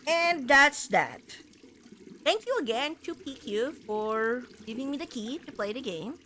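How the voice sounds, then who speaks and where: A woman game streamer chatting casually to her viewers in a rambling, halting way, full of filler words. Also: sits high, lively intonation, bright, forceful tone, slight background noise. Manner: rambling, halting, punctuated with "like" and "you know". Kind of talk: casual livestream chat to viewers.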